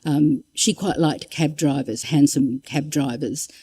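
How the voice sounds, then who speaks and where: A woman's voice: deep voice, a little slow, warm tone, slightly rough. A woman giving an informal history talk, conversational and wry, drifting into asides and reading out quotations. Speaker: public lecturer.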